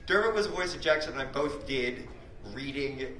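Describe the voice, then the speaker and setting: A man in a casual chat as a voice actor and show creator, animated and joking as he talks about character voices. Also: mid-range voice, normal speed. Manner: animated, joking, often laughing. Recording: echoey, distant, very noisy.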